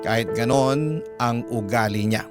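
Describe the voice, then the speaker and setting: Filipino guy radio host reading a dramatic letter, medium voice, guitar music loud behind him. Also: medium pitch, measured pace. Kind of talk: dramatic first-person letter narration. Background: prominent guitar music.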